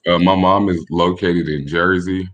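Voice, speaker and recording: A young man in his late twenties with a very deep, low voice answers questions in a relaxed, laid-back way. He pauses and restarts his sentences often. He grew up in Michigan. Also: muffled recording, faint music behind. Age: 20s. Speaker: podcast guest. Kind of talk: casual interview chat.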